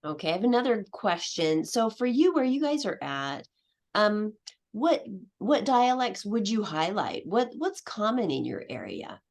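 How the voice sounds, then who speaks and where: A woman's voice: mid-range voice, big pitch swings, unhurried. A woman giving a conference presentation on a video call, talking casually with many fillers and restarts. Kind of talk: casual presentation with audience questions.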